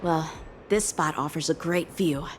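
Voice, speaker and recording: A courteous, incisive lieutenant. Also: female voice, sits low, unhurried, slight background noise. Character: polite, sharp young woman lieutenant. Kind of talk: video game cutscene voice acting.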